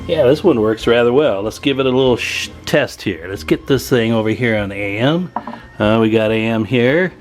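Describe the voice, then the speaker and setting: A man's voice: medium pitch, expressive, moderate pace. Casual man giving a hands-on demo, music behind. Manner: informal, chatty, folksy slang. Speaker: host of a CB radio repair video.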